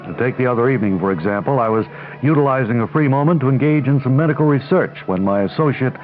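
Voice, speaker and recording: A pompous young hospital doctor, played by a man, explains things with pedantic, literal-minded certainty. He has a middling male pitch and the deadpan delivery of radio comedy, heard through a very narrow, tinny recording. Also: quick pace, faint music behind, natural voice. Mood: self-important. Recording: tinny, band-limited old radio recording.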